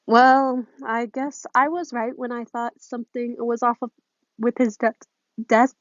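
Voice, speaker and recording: A woman's voice: on the high side, level tone, unhurried, no room sound, natural voice. A woman voicing a guarded, moody teenage boy with a hesitant, defensive manner in a story reading. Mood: brooding. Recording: muffled phone recording.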